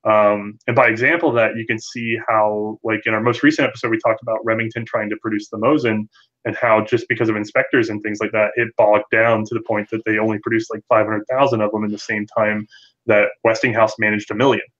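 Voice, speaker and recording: Guy with lowish voice explaining stuff in long rambly runs on a podcast, kinda muffled lo-fi audio. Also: little pitch movement, brisk, a bit bright. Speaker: podcast guest, firearms history presenter. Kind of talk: conversational expert explanation.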